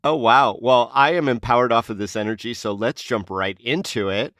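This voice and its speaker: A man's voice: mid-range voice, moderate pace, fairly bright tone, no room sound, clean studio sound. A man co-hosting a news roundup podcast, moving between scripted intros and conversation. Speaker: podcast co-host.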